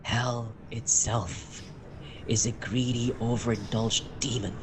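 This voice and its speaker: Slow, deliberate male horror narrator. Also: medium pitch, steady tone, crowd noise, poor audio. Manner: slow, deliberate, with dramatic pauses and hushed moments. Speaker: narrator of a dark fiction podcast. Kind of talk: dramatic first-person horror story narration.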